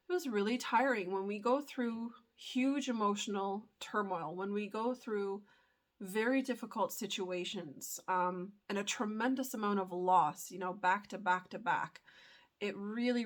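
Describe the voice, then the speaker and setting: A young adult woman with a stuffed-up voice from a cold, talking earnestly to camera in a reflective vlog with many pauses and fillers. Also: mid-range voice. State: congested from a cold. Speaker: spiritual YouTuber.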